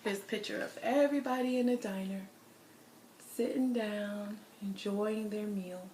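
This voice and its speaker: A woman reading a children's picture book aloud as a storyteller, with expressive, deliberate phrasing and stressed words. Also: mid-range voice, background noise.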